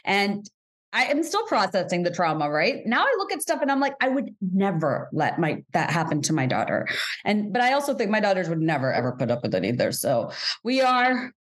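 A woman from Bangladesh hosting an interview, speaking in an animated, passionate way and laughing as she talks. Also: medium pitch, a little fast. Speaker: interviewer, host. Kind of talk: conversational interview.

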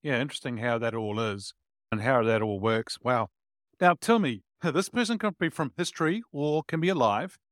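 A man's voice: medium pitch, expressive, normal speed, no echo. Male podcast host, dry and close. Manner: short prompts and acknowledgements. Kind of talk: interview.

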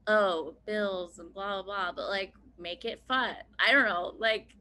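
A woman's voice: medium pitch, fairly even intonation, a little slow, bright, forceful tone. A woman in her thirties from Pennsylvania, a podcast guest talking casually with many "like" fillers and easy laughter. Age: 30s. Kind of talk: casual conversation.

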